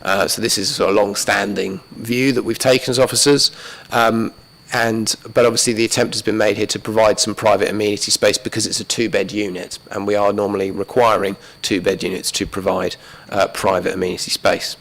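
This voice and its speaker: A man presenting a planning application to a council committee, talking methodically through slides while losing his voice and clearing his throat. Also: lowish voice, little pitch movement, brisk, fairly bright tone, rough recording. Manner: methodical, many fillers and restarts, talks through slides. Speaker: planning officer. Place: council chamber.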